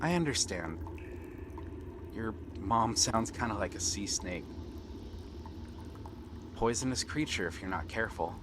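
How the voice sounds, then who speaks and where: A man acting a friendly, eager young pirate prince in an earnest, gentle ASMR roleplay with pauses. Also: on the low side, expressive, noisy background. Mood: reluctant, pleading. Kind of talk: ASMR roleplay acting, one-sided dialogue. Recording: water and ambient sound effects behind. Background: seaside ambience, water sounds.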